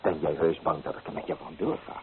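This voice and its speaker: An actor playing a young, amorous painter, coaxing and theatrical, in an old radio drama. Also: male voice, extremely low pitch, little pitch movement, fast. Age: young adult. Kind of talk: old radio play acting. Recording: tinny old radio recording, hissy.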